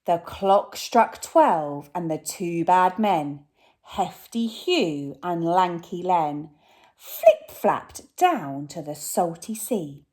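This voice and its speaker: A woman teacher reading a rhyming picture book aloud to children, animated, sometimes dropping to a whisper. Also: on the low side, very slow.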